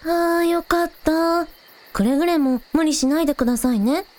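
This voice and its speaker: A woman playing a cheerful, curious young village idol girl, casual and quick to exclaim, in a scripted audio drama. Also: high-pitched, darkish tone, lightly acted. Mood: concerned, bright.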